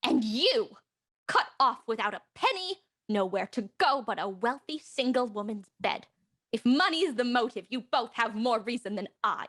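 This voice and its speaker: An actress playing a bold, flirtatious house guest in a period mystery radio play, with a teasing, theatrical manner. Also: female voice, fairly high pitch, expressive, moderate pace, a bit bright. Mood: indignant.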